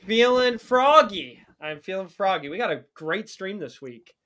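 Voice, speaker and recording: A man co-hosting a livestream, reading out announcements in an energetic, salesy way and repeating himself. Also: high voice, moderate pace. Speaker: co-host of a car audio livestream.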